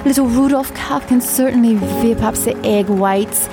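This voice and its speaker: A brassy gingerbread mama played by a woman with a highish, creaky voice. She is saucy, scornful and domineering, a radio comedy vamp over music. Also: moderate pace, lightly acted. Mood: sultry, mocking. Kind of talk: comic noir radio drama acting.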